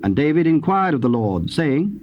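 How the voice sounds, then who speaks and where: A man with a British accent narrating scripture in a formal, measured way. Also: on the high side, sing-song. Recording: dull, faintly noisy old cassette.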